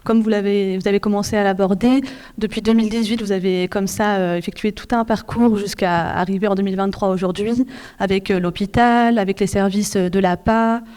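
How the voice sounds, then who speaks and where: A woman interviewing a guest at a public event, asking formal, measured questions. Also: medium pitch, fairly even intonation, a little mellow, smooth.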